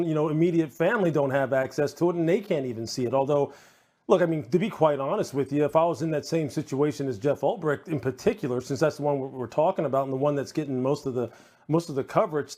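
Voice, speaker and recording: A man's voice: fairly high pitch, a little mellow. A man giving earnest, discursive sports analysis on a TV panel, repeating phrases for emphasis as he thinks aloud. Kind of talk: unscripted TV panel commentary.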